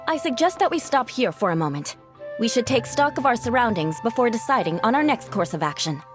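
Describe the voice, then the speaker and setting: A woman voicing a calm, cautious and composed young leader in a video game, speaking in a measured, formal way. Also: fairly high pitch, over music. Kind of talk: video game cutscene dialogue.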